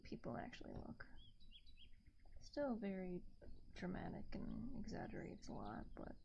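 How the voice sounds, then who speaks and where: A woman livestreamer with a soft, gentle, half-whispered voice, chatting casually in ASMR style and musing aloud in a relaxed, rambling way, close to the microphone. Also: fairly low pitch, measured pace, gravelly, lo-fi sound, slight background noise. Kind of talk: casual ASMR stream chat. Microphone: close binaural ASMR microphone.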